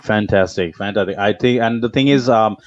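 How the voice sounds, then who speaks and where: Relaxed male podcast host. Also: lowish voice, a little fast, no room sound, dull audio. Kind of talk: conversational interview.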